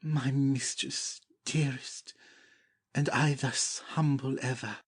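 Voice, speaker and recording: A man acts a lovestruck young prince in a Shakespeare audiobook. His mid-pitched voice is husky and breathy around the edges, and he declaims his devotion with fervent, gallant humility. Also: steady tone, slow. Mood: tender devotion. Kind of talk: dramatic Shakespeare audiobook reading.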